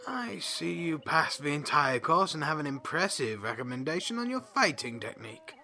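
Audio drama drill sergeant duck: brusque, sarcastic, higher-pitched bark, wildly animated, faint noise behind. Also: male voice, moderate pace, full character voice. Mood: brisk, gruff. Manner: barking, sarcastic military orders.

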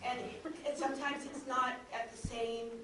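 A woman's voice: on the high side, flat delivery, moderate pace. Woman panelist in a noisy, heavily echoing room. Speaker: audio drama producer on a panel. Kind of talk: informal panel talk. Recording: very echoey room, distant mic, noisy.

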